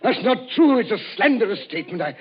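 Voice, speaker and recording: An actor playing a blustering, guilty husband in a 1940s radio drama, making indignant denials. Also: male voice, sits high, sing-song, fast talker, soft background music. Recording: muffled 1940s radio broadcast.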